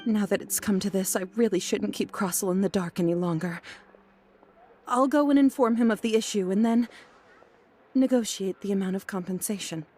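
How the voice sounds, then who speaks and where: A woman's voice: medium pitch, moderate pace, a little mellow, close to an everyday voice. A woman playing a polite, anxious, apologetic young merchant in a video game, earnest and a little hesitant. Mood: resigned, dutiful. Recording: music behind the voice.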